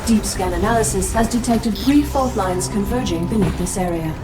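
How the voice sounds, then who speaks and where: An actress voicing a cool, emotionless ship's computer in a video game, giving clipped, formal briefings. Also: female voice, medium pitch, moderate pace, far from the mic, over music, lightly acted. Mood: detached.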